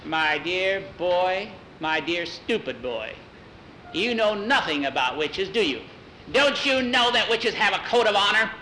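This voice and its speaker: A crafty old storybook witch, acted out by a man, with a high, sharp voice that swoops about. The delivery is haughty, gleeful and exaggerated, over some background noise. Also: sing-song, measured pace, exaggerated voice, low-quality recording. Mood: haughty scolding.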